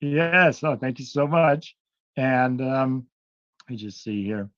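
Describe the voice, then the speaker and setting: A man's voice: medium pitch, expressive, slow pace, a bit bright, no echo, lo-fi sound. A man from Connecticut introducing himself on a call, hesitant and full of fillers. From Connecticut, USA. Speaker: caller.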